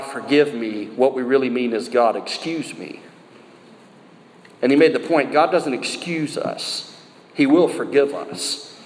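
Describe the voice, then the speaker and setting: A middle-aged American man preaching a sermon in an emphatic yet conversational way, repeating phrases and posing rhetorical questions. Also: medium pitch. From United States. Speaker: pastor preaching to a church. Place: large indoor room, live sermon. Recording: roomy hall reverb, some background noise.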